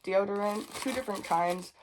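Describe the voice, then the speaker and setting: A woman, chatting casually and unfiltered to camera in a packing vlog, a little sniffly and raspy from a cold. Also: medium pitch, moderate pace, background noise. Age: 20s. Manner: chatty, unfiltered, rambling asides. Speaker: vlogger.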